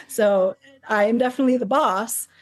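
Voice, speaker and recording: A middle-aged woman speaking as an interview guest about academic publishing, animated and emphatic with occasional restarts. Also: a little high, a little slow. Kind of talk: casual interview talk.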